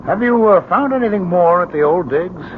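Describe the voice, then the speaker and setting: A man's voice: sits high, animated, moderate pace, slight background noise. An actor playing a troubled but courteous middle-aged husband and adoptive father in a 1970s radio drama. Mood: friendly. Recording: muffled vintage radio broadcast.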